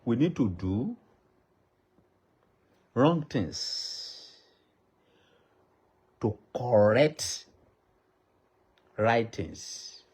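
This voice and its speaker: A man's voice: mid-range voice, big pitch swings, extremely slow, pauses often, a little mellow, husky. A Nigerian man with a Yoruba accent, a native Yoruba speaker, makes an impassioned public appeal in a pleading, repetitive cadence like a preacher. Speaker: traditional ruler addressing the public.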